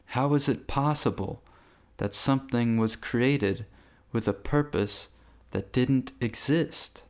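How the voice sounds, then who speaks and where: A man's voice: mid-range voice, slow pace, darkish tone. A man playing a brooding, hypnotized man in a radio drama, delivering reflective monologues in an earnest, subdued way. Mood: troubled, questioning.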